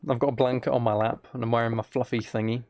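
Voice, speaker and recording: A man livestreaming a game with a flat, mellow voice, rambling casually and softly to his viewers in a low-key way. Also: fast talker, a little hiss or hum. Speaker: live streamer. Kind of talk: casual gaming livestream chat, soft ASMR-like. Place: desk at home, live stream.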